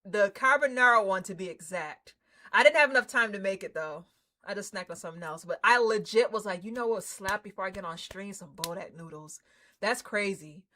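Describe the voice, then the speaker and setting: Hyped up girl streamer just chatting w viewers, bright punchy voice, slangy, swears a bit. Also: mid-range voice, a little fast. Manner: excitable, hyped, effusive; slangy, informal, drops swearing in. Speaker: livestreamer hosting a game stream. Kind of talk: casual livestream chat with viewers.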